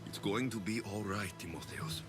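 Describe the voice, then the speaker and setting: A man acting a calm, protective ancient Greek mercenary in a video game, steady and reassuring in manner. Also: fairly low pitch, moderate pace, music in the background, lightly acted. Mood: gentle concern.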